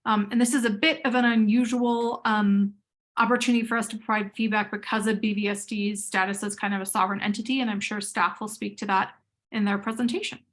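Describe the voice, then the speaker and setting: Woman, board chair, friendly and informal, mid-pitched voice, video call. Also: level tone, a little fast, a bit bright. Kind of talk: chairing a public meeting.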